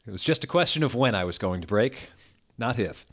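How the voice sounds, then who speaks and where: A man hosting a mock-dramatic reading of fan fiction, deadpan, sounding out typos and punctuation as written. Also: mid-range voice, brisk. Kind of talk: mock-dramatic scripted narration.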